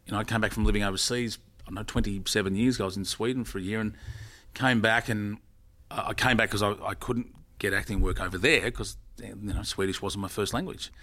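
A middle-aged man talks casually as an interview guest. His voice sits a little low, and he rambles, often stopping to correct himself partway through a sentence. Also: steady tone, fast talker, fairly bright tone. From Australia. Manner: rambling, self-correcting storyteller.

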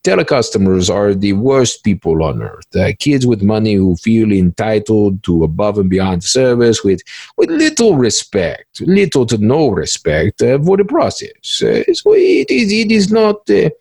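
A man's voice: lowish voice, huge pitch swings, measured pace, creaky, darkish tone, husky, no echo, full character voice. A man playing a cagey, smug cybercriminal in a mock interview, stammering and repeating words with a pompous air. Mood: exasperated, insistent. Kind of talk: one-act play interview.

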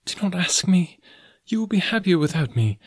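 Man voicing an earnest, pleading young woman, raised pitch. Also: sing-song, moderate pace, breathy edge, dry recording, exaggerated voice. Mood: sad, pleading. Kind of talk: audiobook character dialogue.

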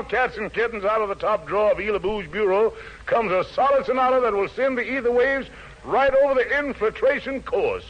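A man's voice: very high voice, muffled recording. An American man hosting a 1940s radio show for troops in showy, playful jive patter, on a vintage recording. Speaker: radio show host, master of ceremonies.

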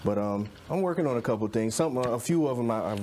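Guy almost 40, chef chatting casually as a guest, mellow voice, bit of noise behind him. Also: medium pitch, expressive, fast talker. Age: late 30s, nearly 40. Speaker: celebrity chef and caterer, guest. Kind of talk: casual interview chat.

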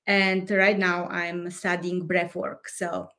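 A woman's voice: lowish voice, level tone, slow pace, pushed and bright. A woman from Poland with a Polish accent, a life coach and podcast guest who speaks in a thoughtful way and often searches for words. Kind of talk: podcast interview.

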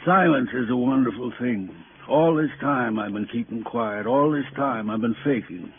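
An actor playing a tired, proud old father under suspicion, speaking in a folksy, deliberate way in 1950s radio crime drama. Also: mid-range voice, close to an everyday voice. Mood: weary, resigned. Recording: tinny, noisy old radio recording.

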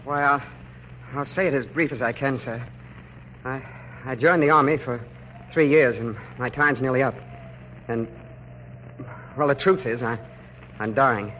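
A young man playing a sick, desperate soldier, earnest and halting, in a 1940s American radio drama. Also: mid-range voice, a bit bright, lightly acted. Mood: halting, pleading. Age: young adult. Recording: noisy vintage 1940s radio recording.

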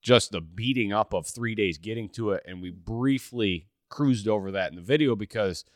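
A man hosting a hunting podcast, chatting in a relaxed way with lots of fillers and restarts. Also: fairly low pitch, normal speed, dry recording, clean studio sound. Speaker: podcast host. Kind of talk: casual podcast conversation.

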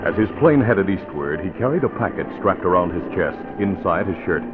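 A man narrates a classic radio drama in a formal, scripted style. His voice is very low, the recording is narrow and vintage, and music plays clearly behind him. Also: animated, brisk.

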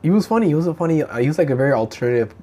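A man's voice: a little high, lively intonation, racing, mellow. A man with a slight lisp chatting casually, peppering his slangy talk with "dude" and "man". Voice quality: slight lisp from a bitten tongue. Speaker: stand-up comedian. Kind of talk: casual chat.

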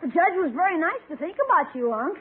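Cheeky young American boy, very high and bouncy, scheming, muffled old radio broadcast. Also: expressive, quick pace. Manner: eager, fake-innocent. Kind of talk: 1940s-50s radio comedy acting.

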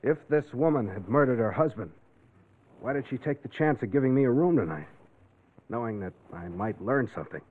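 A man's voice: medium pitch, animated, fairly quick, lightly acted. Casting: male actor for a cool young reporter, wry, vintage crime radio, muffled narrow-band recording. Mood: firm, accusing. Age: 20s. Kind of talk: classic radio drama acting.

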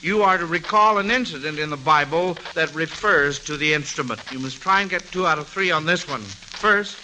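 A man's voice: fairly high pitch, normal speed, bright, forceful tone, low-quality recording. An American man hosting a live 1940s radio quiz, encouraging and repeating answers back, on a hissy old recording. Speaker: quiz show host.

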